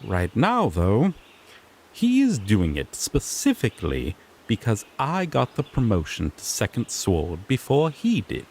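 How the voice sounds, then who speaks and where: A sassy griffon knight, acted out by a man, fussy and formal as he lays down the law. His lowish voice moves slowly but swings dramatically up and down. He sounds earnest and a little exasperated, in fantasy audio drama style, over faint ambient noise. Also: huge pitch swings, darkish tone, full character voice. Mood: dry, put-upon. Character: sassy griffon knight, earnest rookie lawman making first arrest.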